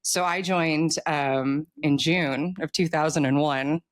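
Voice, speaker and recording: An American woman in her forties talking casually as an interview guest, telling stories in an animated way. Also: deep voice, slow pace, no echo. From United States. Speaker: author guest, military veteran.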